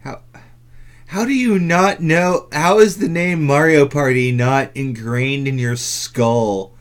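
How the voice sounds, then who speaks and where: A man's voice: fairly high pitch, slow pace. A man hosting a gaming podcast in casual chat, with a wry, stop-and-start delivery. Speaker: podcast host.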